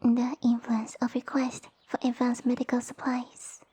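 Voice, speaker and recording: A woman voicing a small, haughty young snake-girl noble in an ASMR roleplay, speaking softly with pompous, formal condescension. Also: a little high, monotone, unhurried, husky. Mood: haughty, appraising. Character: small haughty young lamia noblewoman, sassy and easily offended. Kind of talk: ASMR audio roleplay acting. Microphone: close dry microphone.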